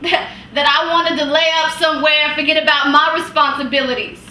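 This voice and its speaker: A woman's voice: sits high, fairly even intonation, pushed and bright. A woman acting an anguished wife confronting her husband, in an impassioned, pleading stage monologue. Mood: pained, yearning. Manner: impassioned, pleading, emphatic with long pauses. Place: indoor workshop room, recorded from a distance. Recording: roomy, distant mic, some hiss.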